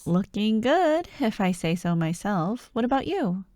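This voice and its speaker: A woman playing an excitable, teasing young childhood friend who loves Halloween, in an ASMR roleplay addressed to the listener. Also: medium pitch, unhurried, no echo, studio quality. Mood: chatty, proud. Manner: playful, teasing, animated, with frequent giggles and mock drama.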